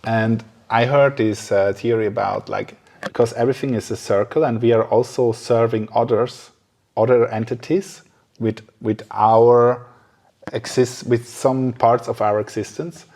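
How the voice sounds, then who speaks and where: A man's voice: mid-range voice, steady tone, measured pace, a little mellow. A man hosting a casual podcast conversation, speaking hesitantly as he searches for his words. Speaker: podcast host.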